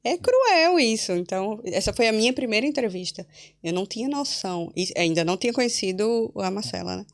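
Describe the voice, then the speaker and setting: A young Brazilian woman guest with a Brazilian Portuguese accent, telling her story in a casual podcast interview with animated, laughing asides. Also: medium pitch, a little fast. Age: young adult.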